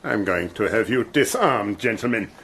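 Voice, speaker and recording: An actor playing a cruel, mocking German army colonel who gloats with slow, theatrical menace. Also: male voice, lowish voice, animated, creaky, a bit bright. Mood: menacing. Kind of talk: radio play acting.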